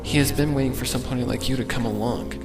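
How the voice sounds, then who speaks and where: A man's voice: mid-range voice, steady tone, fast, roomy sound, loud music behind the voice, voice pushed up, natural voice. A man voicing an innocent, earnest young female pegasus pony in a fanfiction story reading.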